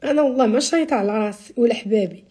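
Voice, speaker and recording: Rapid-talking Moroccan woman, high voice. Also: slightly rough. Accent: Moroccan Arabic. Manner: animated, emphatic, gestures a lot while talking. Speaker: social media personality. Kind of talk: casual live-stream chat to followers. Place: small indoor room. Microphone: phone at arm's length.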